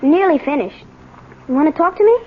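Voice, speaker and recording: An earnest schoolboy played by a woman speaks in a high, youthful voice. He is reassuring and open, in the manner of 1970s radio drama. The sound is thin and tinny, like an old broadcast. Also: animated, brisk, noisy background. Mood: open, willing.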